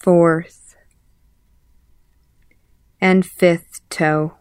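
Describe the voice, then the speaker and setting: A woman leading a guided meditation as an instructor, calmly and in short, measured phrases with pauses. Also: lowish voice, clear, resonant tone, no room sound, clean studio sound. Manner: calm, measured, pausing between short phrases. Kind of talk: guided body scan meditation.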